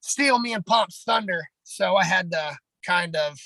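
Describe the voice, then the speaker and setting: Raspy-voiced man, blunt and rambling. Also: sits high, measured pace, pushed and bright, no echo, low-quality recording. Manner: blunt, rambling, heavy on fillers. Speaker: pro wrestler. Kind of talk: casual podcast chat.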